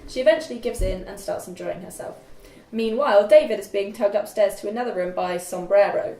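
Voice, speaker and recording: A woman's voice: medium pitch, fairly quick, warm tone. A woman narrating script stage directions at an informal table read. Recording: echoey room, distant mic, faint noise.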